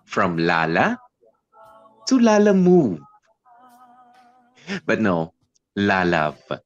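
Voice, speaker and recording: A man's voice: lowish voice, wildly swooping pitch, drawn-out words, heavily acted. An actor playing a playful, sentimental young lover in a romantic radio drama monologue, teasing and mixing English into his speech. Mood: teasing, tender. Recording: slightly dull sound with music behind.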